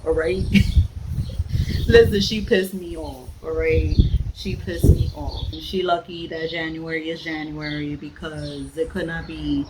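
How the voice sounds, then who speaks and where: A woman talks slowly and bluntly in a slightly low voice, with clear noise on the recording. She rants in a casual book-vlog style, sassy and emphatic, hammering phrases by repeating them. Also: steady tone. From the Bronx, New York. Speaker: book vlogger. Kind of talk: casual reading vlog rant. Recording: noisy home vlog recording.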